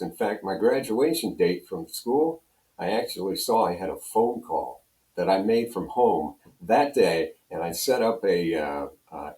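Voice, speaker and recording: A man's voice: on the low side, dark tone. An older American man from Pennsylvania, a radio program director, telling casual, folksy, self-deprecating anecdotes as a guest. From Pennsylvania, USA. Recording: roomy echo, distant from microphone.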